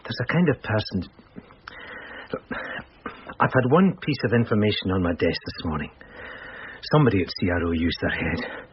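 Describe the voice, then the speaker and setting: An actor playing a hungover, apologetic police detective sergeant in a radio drama, halting and deferential. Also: male voice, lowish voice, big pitch swings. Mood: earnest, muddled. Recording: dull lo-fi radio audio, faint noise.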